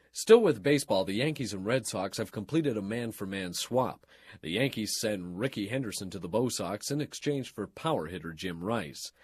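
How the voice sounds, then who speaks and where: A man reading a scripted radio news and sports bulletin in a formal, measured broadcast delivery. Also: on the low side, slightly rough, no echo, studio quality.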